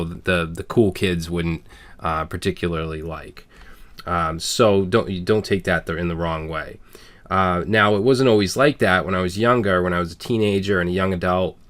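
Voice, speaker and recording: Deep-voiced man with a Boston accent. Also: fairly bright tone. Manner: earnest, plain-spoken, frequent "all right" and "um". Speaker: programming channel host. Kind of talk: candid personal talk to viewers.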